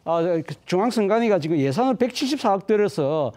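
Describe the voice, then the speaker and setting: A male professor gives commentary on a studio panel show. His voice runs high and lively while staying mellow in tone. He explains at length and often corrects himself mid-sentence. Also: fast talker, a little hiss or hum. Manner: animated, self-correcting explanation.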